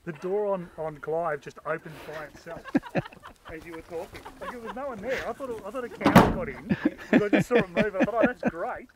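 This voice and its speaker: High-voiced man off-mic, loud background noise. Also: normal speed. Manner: casual, amused, off-camera remark. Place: outdoors on a farm.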